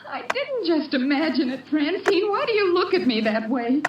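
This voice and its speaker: A woman's voice: high voice, very animated, normal speed. An actress playing a frightened, emotional young woman in danger in an old-time radio drama. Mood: frightened, insistent. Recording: muffled old radio recording, roomy, noisy.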